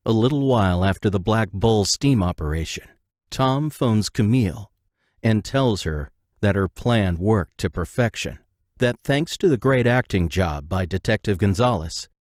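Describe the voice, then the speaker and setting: A man with a fairly low voice narrates an audio drama. He reads scripted scene descriptions as the narrator. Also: expressive, a little slow, a little mellow, no echo, studio quality.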